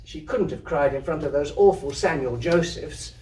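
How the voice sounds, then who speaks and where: A man giving an expressive live reading of literary fiction. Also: on the high side, normal speed. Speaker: reader, amateur theatre troupe member. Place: indoor community hub event. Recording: roomy, distant mic, faint noise.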